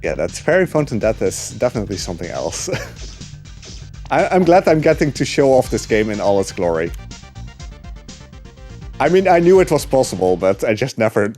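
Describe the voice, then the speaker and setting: A young man with a lowish voice commentating his speedrun in a loose, chatty way, often pausing on "uh" and laughing lightly. Game music plays faintly behind him. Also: big pitch swings, a little mellow. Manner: chatty, with frequent uh fillers and laughs. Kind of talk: casual commentary while gaming.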